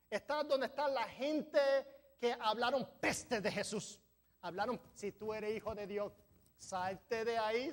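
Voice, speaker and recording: A man preaching a sermon as pastor of a church, a non-native speaker who sometimes stumbles over words and builds to emphatic peaks. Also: extremely high pitch. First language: not Spanish. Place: church sanctuary.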